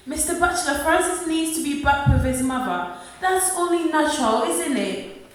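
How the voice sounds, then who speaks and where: A woman's voice: high voice, lively intonation, normal speed, slight background noise. An actress playing a hard-pressed, devout young mother in a radio play, speaking in an earnest, plain way. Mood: pleading. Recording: very echoey room, distant from the mic.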